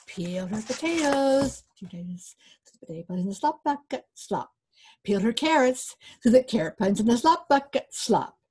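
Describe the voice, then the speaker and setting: An older woman storyteller reading a folktale aloud to children, animated and inviting listeners to join in. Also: fairly high pitch, breathy edge. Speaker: author and storyteller. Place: small book-lined room indoors.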